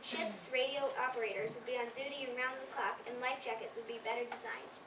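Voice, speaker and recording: A woman's voice: on the high side, steady tone, fairly quick, distant mic. A woman reading scripted narration aloud in a readers theater performance. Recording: echoey room from afar, noisy.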